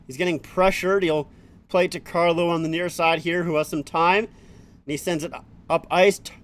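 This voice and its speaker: A man streaming a live hockey watch-along, calling the play-by-play and chatting with viewers between plays. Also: a little high. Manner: rapid running play-by-play, swears, chats with viewers.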